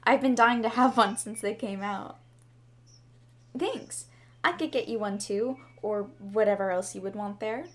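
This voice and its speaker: A woman's voice: mid-range voice, measured pace, natural voice. A woman playing a shy, sweet schoolgirl with a crush in an ASMR roleplay, speaking in a bashful, hesitant, rambling way. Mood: shy, friendly, then friendly, eager. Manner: bashful, hesitant, chatty, giggly. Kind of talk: ASMR roleplay, scripted to the listener. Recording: clearly audible background ambience noise.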